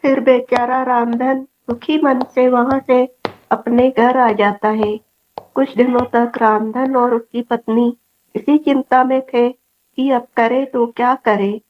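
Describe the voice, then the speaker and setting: A woman's voice: on the high side, level tone, takes it slowly, dull audio. A woman narrating a scripted children's story.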